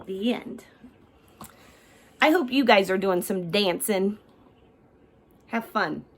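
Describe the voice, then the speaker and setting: A woman storyteller reading a children's picture book aloud expressively, with acted character lines. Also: medium pitch. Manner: animated, measured delivery with acted character lines.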